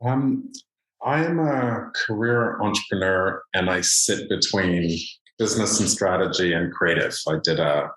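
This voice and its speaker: A man's voice: deep voice, unhurried, fairly bright tone. A middle-aged man from Canada talking casually about his work as an interview guest in an echoey room.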